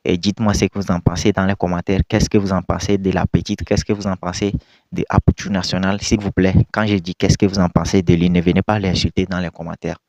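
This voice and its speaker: A man's voice: deep voice, level tone, quick pace, creaky, a little mellow, dry recording. A young man, not a native French speaker, casually commenting to his viewers in an emphatic, repetitive way with scolding rhetorical questions. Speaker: video commentator.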